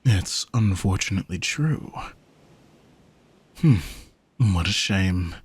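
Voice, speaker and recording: A man playing an alluring sea monster posing as a vain, flirty gentleman in an audio roleplay, speaking softly and formally in a haughty, teasing way. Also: sits low, lively intonation, slow pace, slightly rough, full character voice. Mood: smug, flirty. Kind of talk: scripted audio roleplay, spoken to the listener.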